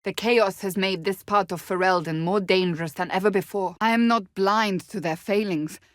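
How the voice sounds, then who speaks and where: A woman's voice: medium pitch, moderate pace, no room sound, clean studio sound, close to an everyday voice. An actress playing a stern, blunt, devout warrior woman in a fantasy video game, speaking in a formal, clipped, earnest way. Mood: grave, matter-of-fact.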